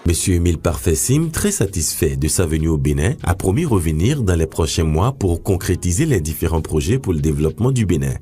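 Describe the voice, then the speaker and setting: Male news narrator, formal scripted read; extremely deep, dark and husky. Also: sing-song. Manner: formal, measured reporting.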